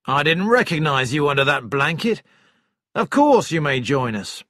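A man's voice: on the high side, very animated, normal speed, pushed and bright, no room sound, clean studio sound. A man voicing a civil, authoritative police inspector in an audiobook, with a businesslike, polite manner. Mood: polite, commanding.

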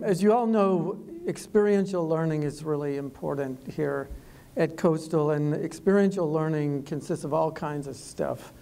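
A moderator leads a college panel, sharing quick figures and handing the next question to a panelist. The voice sits at a middle pitch. It sounds mellow. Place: stage in a college auditorium.

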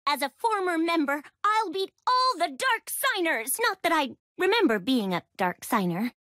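Bubbly young female anime duelist, perky and theatrical, very high and bright, for a card game. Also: sing-song, moderate pace, no room sound, clean studio sound, exaggerated voice. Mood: eager, confident.

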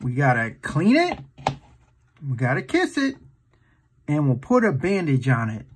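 A man's voice: fairly high pitch, huge pitch swings, a little slow, a little hiss or hum. A man hosting a children's storytime, reading a picture book aloud in a playful, exaggerated way and putting questions to the listener.

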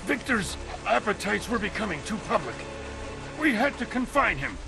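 An actor playing a tense, defensive military commander in a video game, speaking urgently in a raised voice. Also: male voice, high-pitched, big pitch swings, normal speed, gravelly, exaggerated voice. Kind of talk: video game cutscene acting. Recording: very loud music, rainy background.

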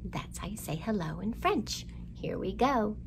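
A middle-aged woman hosting storytime for young children, with an expressive, sing-song delivery, recorded indoors a short way from the microphone. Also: mid-range voice, measured pace, background noise. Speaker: children's library storytime host. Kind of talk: storytime rhymes and picture book reading for young children. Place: indoors in a library room.

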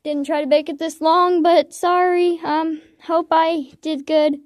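A woman with a high voice chats informally as an amateur auditioning for a part. She sounds hesitant and apologetic, and the recording is dry. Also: flat delivery, a little slow, no echo. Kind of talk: casual, informal chat to the listener.

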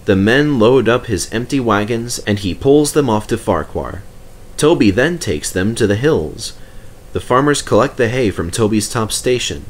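A man's voice: lowish voice, expressive, normal speed. A man reading a scripted children's story aloud as narrator. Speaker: storybook reader.

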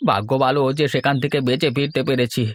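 A man's voice: mid-range voice, fairly even intonation, fast talker, no room sound, natural voice. A man voicing a loyal, cautious old servant who speaks earnestly and deferentially in a horror cartoon. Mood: earnest, warning.

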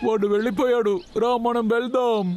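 Someone doing a friendly cartoon critter, medium pitch, playful and coaxing, loud music behind. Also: little pitch movement, fairly quick, natural voice. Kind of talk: animated children's cartoon dub.

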